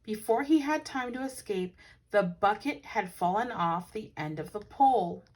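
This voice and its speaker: Animated, slightly husky woman reading to children. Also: medium pitch, normal speed, rough recording. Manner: animated, dramatic emphasis with hushed asides to listeners. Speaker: teacher reading aloud to children. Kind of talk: expressive children's picture-book read-aloud.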